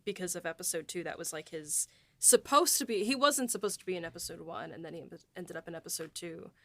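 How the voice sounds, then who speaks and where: A woman's voice: on the low side, fast talker, fairly bright tone, no echo. A woman co-hosting a behind-the-scenes podcast, chatting casually with lots of fillers and laughs. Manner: chatty, many fillers, giggly. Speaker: podcast co-host and writer.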